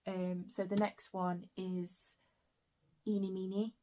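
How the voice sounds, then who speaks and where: A young woman chatting casually about her reading in a book vlog, her throat a bit croaky, with frequent soft fillers. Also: mid-range voice, little pitch movement, slow pace. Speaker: book vlogger.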